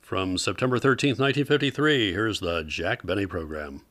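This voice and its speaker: A man's voice: on the low side, normal speed, fairly bright tone. A man hosting a program, giving scripted introductions and background in a presenter's style. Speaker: host of an old-time radio program.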